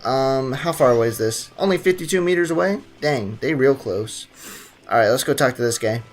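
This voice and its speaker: A man's voice: mid-range voice, a little fast. Young guy streaming a game, snarky and jokey, game music in the back. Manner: joking, sarcastic, laughs often. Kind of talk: gameplay livestream commentary.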